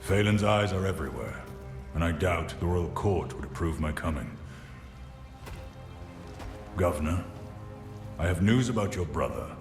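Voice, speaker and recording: An actor playing a shrewd, grave old lord in a fantasy game, giving warnings in a deliberate, portentous manner. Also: male voice, low-pitched, animated, gravelly. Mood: conspiratorial. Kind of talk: dramatic fantasy game acting. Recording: roomy echo, music behind.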